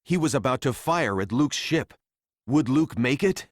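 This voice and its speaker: A man narrating a children's read-along story in a simple, deliberate storytelling manner for young readers. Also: mid-range voice, animated, no echo, studio quality.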